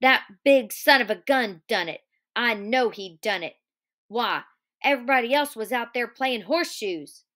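A woman's voice: a little high, animated, normal speed. A woman voicing a hot-tempered, vengeful young ranch man who barks threats and orders. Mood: furious. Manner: shouting threats, raised and forceful.

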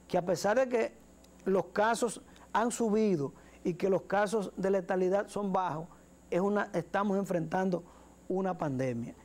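A man's voice: sits high, warm tone. A man in his forties with a Dominican accent, co-hosting a studio talk show with earnest commentary. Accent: Dominican Spanish. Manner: earnest, gestures while speaking, repeats words. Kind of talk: political commentary. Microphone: lapel mic.

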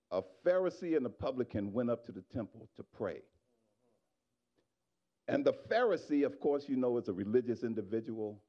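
Middle-aged male pastor mid-sermon, raspy edge, muffled lo-fi church recording, shouts then drops low. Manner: preaching with rising shouts and quiet asides to the congregation. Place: church sanctuary. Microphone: handheld microphone.

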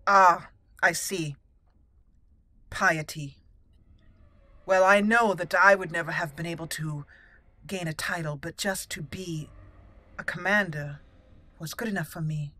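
Lady gamer roleplaying and commenting, lowish voice, halting and a bit dramatic. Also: fairly even intonation, sharp tone. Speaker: gaming channel host, roleplayer. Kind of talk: roleplay let's play commentary, reading in character and musing aloud.

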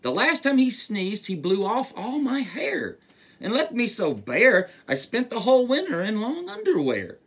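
A man's voice: extremely high pitch, wildly swooping pitch, measured pace, fairly bright tone, husky, voice pushed up, heavily acted. A man voicing an indignant bear who complains plaintively in storybook rhyme. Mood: indignant, pleading. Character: indignant bear left bare by a sneeze.

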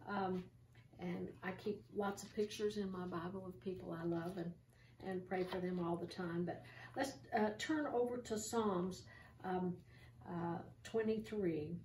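An older woman hosting an online church show, talking gently and conversationally with many ums and restarts, then reading scripture aloud, seated in a small curtained room. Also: lowish voice, a little slow. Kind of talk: informal devotional talk with scripture reading. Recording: roomy, distant home recording with faint hiss.

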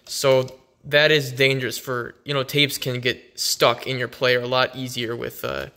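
A man's voice: mid-range voice, little pitch movement, a little slow, gravelly, sharp tone. A man giving casual, unscripted vlog commentary in a laid-back, rambling way, recorded on a handheld phone in a garage. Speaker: vlogger.

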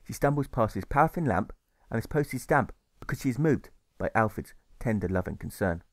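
A man narrating a rhyming novel as an audiobook, in measured storytelling that leans on the rhymes. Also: on the low side, lively intonation, no room sound, clean studio sound. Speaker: author narrating his audiobook.

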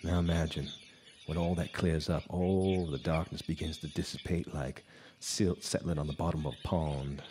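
A man's voice: low voice, a little mellow, close to an everyday voice. An actor playing a bitter, haunted, hard-drinking guitarist, telling his tale in a brooding, theatrical noir radio drama style. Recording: live stage recording with background noise.

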